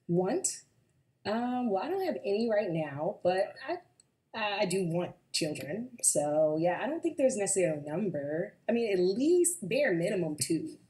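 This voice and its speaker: A woman's voice: mid-range voice, big pitch swings, normal speed, warm tone. A woman on a dating show, chatty and frank as she explains herself. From United States.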